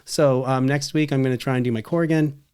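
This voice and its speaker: A man's voice: mid-range voice, steady tone, fast, a little mellow, clear, resonant tone. A middle-aged man with a Canadian accent, chatting casually and telling rambling anecdotes as a podcast co-host. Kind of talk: casual chat.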